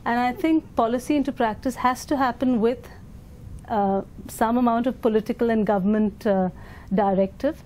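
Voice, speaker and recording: A woman's voice: fairly high pitch, normal speed, warm tone, a little hiss or hum. An Indian woman leading an education foundation, answering interview questions thoughtfully with fillers and restarts.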